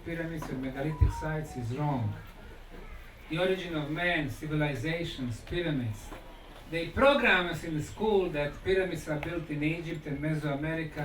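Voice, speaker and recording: A man delivers an emphatic lecture in short, punchy phrases. His voice sits a little high for a man, and it rings in an echoey space, picked up from a distance over faint noise. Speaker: conference host and lecturer. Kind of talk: public lecture.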